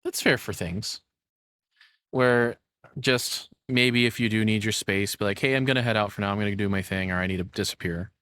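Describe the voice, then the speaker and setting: A man's voice: fairly low pitch, normal speed, fairly bright tone, smooth, dry recording. Casual guy reacting off the cuff, close dry mic. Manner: hesitant, rambling, repeats himself. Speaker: streamer reacting to a video.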